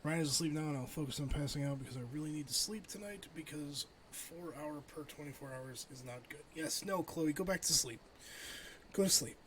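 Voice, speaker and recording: A man's voice: a little high, a little fast, a little hiss or hum, poor audio. A middle-aged man livestreaming a game, thinking aloud and chatting casually with viewers. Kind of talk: casual live game stream chat.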